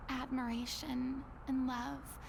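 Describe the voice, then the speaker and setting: A woman playing a composed, compassionate young female investigator in a video game, speaking in an earnest, measured way. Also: sits high, level tone, vocal fry, noisy background, full character voice. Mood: sorrowful.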